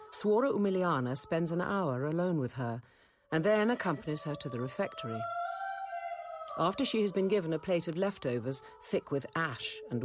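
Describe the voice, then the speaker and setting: Low-voiced, composed Renaissance nun. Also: female voice. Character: composed, dryly witty nun apothecary in Renaissance convent. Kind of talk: radio drama acting and narration.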